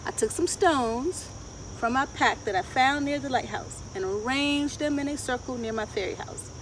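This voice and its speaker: A middle-aged woman with a fairly high voice reads a children's picture book with expressive, deliberate phrasing. She is recorded outdoors a little way from the mic, with faint insect noise and ambience around her. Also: distant mic, low-quality recording. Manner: expressive, asks listeners questions, deliberate phrasing. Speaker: children's storyteller. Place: outdoors in a wooded garden.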